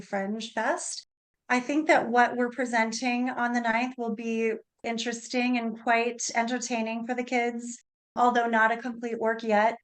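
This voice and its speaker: Higher-voiced woman, earnest interview guest. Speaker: interview guest, opera librettist and singer. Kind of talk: conversational radio interview.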